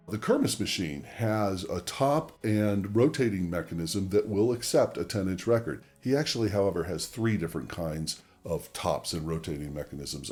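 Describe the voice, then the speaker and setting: A man with a very low-pitched voice hosts an instructional demonstration. He talks conversationally and explains each option step by step. He speaks straight to the viewer in a methodical way. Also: a little mellow. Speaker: presenter of a record-cleaning tutorial channel.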